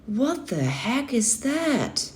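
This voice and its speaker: A woman's voice: a little high, very animated, drawn-out words, slight background noise, pitched above the performer's own voice, heavily acted. A woman voicing an unsure, curious schoolboy in a children's story read-aloud, hesitant and questioning. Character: unsure, curious schoolboy, eager but nervous.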